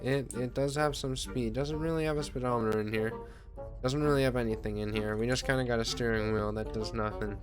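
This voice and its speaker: A man's voice: medium pitch, fairly bright tone. Offhand man rambling over background music. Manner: rambling, offhand, self-deprecating; casually sweary. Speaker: gaming video host. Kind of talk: casual gameplay commentary.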